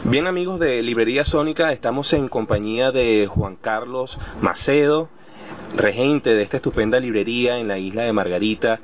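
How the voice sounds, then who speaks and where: A man's voice: medium pitch, moderate pace. A man with a Venezuelan accent speaking as a radio host in a conversational interview.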